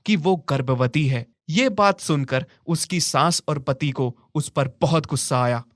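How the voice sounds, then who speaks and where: A man's voice: on the high side, a little slow, dry recording, clean studio sound. A man narrating a scripted moral story as a storyteller.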